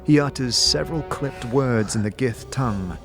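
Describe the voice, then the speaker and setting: A man's voice: medium pitch, moderate pace. A man reading scripted second-person narration for a fantasy audio drama. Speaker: game-style narrator. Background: music.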